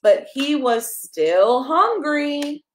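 Woman doing storytime for a little kid, really animated and encouraging, takes it slow, asks lots of questions. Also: sits high, echoey room. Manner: encouraging, expressive, coaxing with questions. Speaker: pastor reading a children's story. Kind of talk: interactive picture-book read-aloud to a toddler. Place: small carpeted room at home, indoors. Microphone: camera a step or two away.

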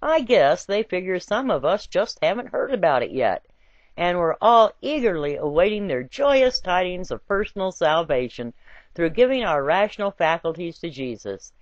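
Animated woman narrator, dull lo-fi sound. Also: lowish voice, normal speed, no room sound. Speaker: reader of a written essay. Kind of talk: expressive scripted narration of a satirical essay. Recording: dull, lo-fi home recording.

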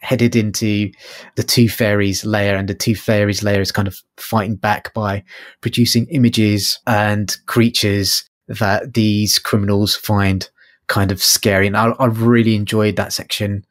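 A man's voice: on the low side, little pitch movement, unhurried, no room sound. A man co-hosting a podcast, discussing books casually and feeling his way tentatively through his points. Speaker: podcast co-host.

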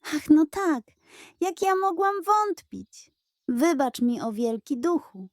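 A woman voicing a naive, gullible and excitable female hen in a children's audio tale, wide-eyed and awestruck. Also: sits high, slow pace, no room sound, studio quality, pitched above the performer's own voice, heavily acted. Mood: relieved.

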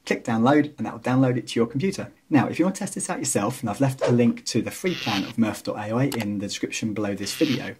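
Male tutorial host, 30s. Very husky tone, mid pitch, relaxed step-by-step explaining. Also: fast, hardly any pauses. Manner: casual, explanatory. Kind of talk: screen-recorded software tutorial narration.

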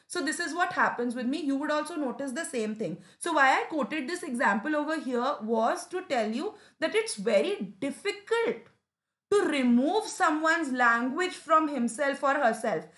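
High-voiced Indian woman teaching English. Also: lively intonation, normal speed, fairly bright tone. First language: Hindi. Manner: explanatory, rhetorical questions, frequent "you know" and "right". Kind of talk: line-by-line lesson explanation. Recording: echoey room.

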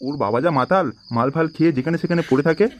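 A man's voice: a little high, very fast, slight background noise, natural voice. A man voicing a crotchety, protective old village grandfather in an amateur comedy cartoon, grumbling as he talks. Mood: grumbling, scolding. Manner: grumbling, scolding.

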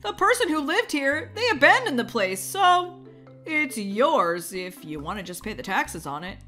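A woman's voice: sits high, very animated, a little slow, bright, forceful tone, faint music behind, heavily acted. A woman playing an upbeat, pushy real-estate agent with a chirpy sales manner in a game roleplay story. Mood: coaxing.

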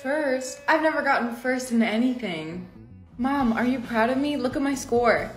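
A woman's voice: fairly high pitch, lively intonation, normal speed, close to an everyday voice. A young woman playing an envious, defensive teenage schoolgirl in a scripted comedy skit. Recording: roomy sound with faint music behind.

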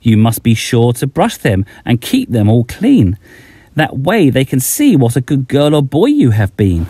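A man's voice: medium pitch, huge pitch swings, unhurried, dark tone, slightly rough, no echo. A middle-aged man reading a rhyming bedtime story aloud, expressively, as a children's author addressing young listeners.

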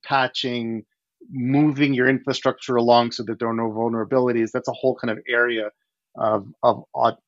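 A man's voice: medium pitch, dry recording. Man on a dull, dry headset call. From Ukraine. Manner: animated, gestures while explaining, frequent restarts. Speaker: startup founder, guest. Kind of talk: casual video-call interview. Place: home living room. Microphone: headset on video call.

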